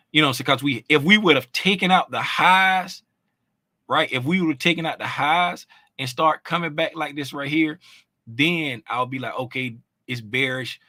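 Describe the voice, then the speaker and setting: A man's voice: mid-range voice, pushed and bright, no room sound. A man explaining trading charts in a casual, conversational way, often checking in with "right?" and "you know what I'm saying?". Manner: loose, informal phrasing with restarts. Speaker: trading educator.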